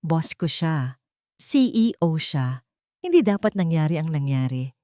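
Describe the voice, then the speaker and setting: A woman's voice: sits low, very animated, a little slow, warm tone, no room sound, studio quality. A woman voicing a guarded, anxious young office worker in an audio drama. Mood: hurt, curt.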